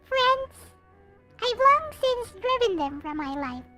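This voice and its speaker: A woman reading aloud as a despairing young visual novel narrator, with dramatic, deliberate recitation. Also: very high voice, sing-song, bright, forceful tone, voice pushed up, heavily acted. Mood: bitter, despairing. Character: despairing young narrator losing eyesight, wistful and bitter. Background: game music.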